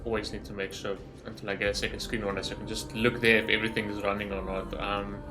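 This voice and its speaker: A man's voice: lowish voice, little pitch movement, fast, music in the background. A man giving casual, rambling game reaction commentary in an informal way, as a gaming YouTuber.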